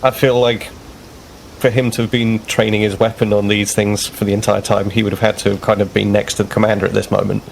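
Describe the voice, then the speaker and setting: A man with a low, gravelly voice talks through his character's moves in a tabletop game, pausing and restarting as he thinks. The audio carries a clear noise bed behind him. His speech is casual and unpolished. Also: little pitch movement, low-quality recording. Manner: thoughtful, hesitant explaining. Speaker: player.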